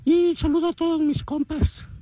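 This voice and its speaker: A man's voice: very high voice, little pitch movement, normal speed, dark tone, no room sound. A man from Tijuana with a Mexican accent, rambling playfully and boastfully as a caller leaving a message.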